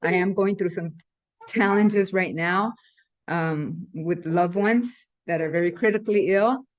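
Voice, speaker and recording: A woman's voice: lowish voice, a little slow. A woman from Ecuador, a team member speaking casually and a little hesitantly on a video call. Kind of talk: casual introduction. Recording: dull lo-fi video call audio.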